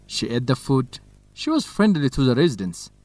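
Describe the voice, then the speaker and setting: An actor playing a frank, easygoing young university researcher in a radio drama, conversational. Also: male voice, mid-range voice, big pitch swings, normal speed, a little mellow, dry recording, natural voice. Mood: candid.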